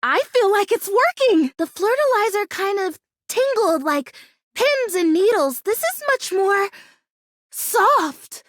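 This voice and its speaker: Hopeful lil plastic plant girl for a video game, super high voice, dry close sound, earnest and dramatic. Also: expressive, takes it slowly, no room sound, exaggerated voice. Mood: excited, hopeful. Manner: earnest, dramatic, childlike phrasing and mild insults. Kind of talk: video game character acting.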